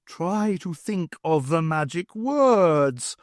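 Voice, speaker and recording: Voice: medium pitch, big pitch swings, slow, no echo, clean studio sound, voice pushed up. A reader voicing a fussy, earnest male sidekick who speaks in an urgent, puffing way.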